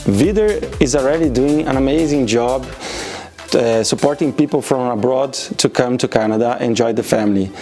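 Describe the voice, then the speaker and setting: A man from Brazil, a native Portuguese speaker with a Brazilian accent, answering interview questions carefully, with some pauses and restarts. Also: mid-range voice, darkish tone, soft background music. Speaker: automation specialist interviewee.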